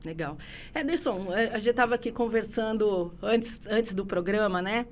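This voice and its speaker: A woman's voice: mid-range voice, moderate pace, a little hiss or hum. A woman hosting an interview, asking questions in a friendly, chatty way and sometimes stumbling over her words. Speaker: interview host. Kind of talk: casual interview conversation.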